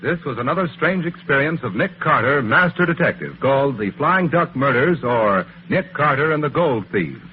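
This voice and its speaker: A man's voice: medium pitch, lively intonation, normal speed, hardly any pauses. A man announcing a 1940s radio programme in a formal, theatrical manner. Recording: tinny, faintly noisy 1940s broadcast.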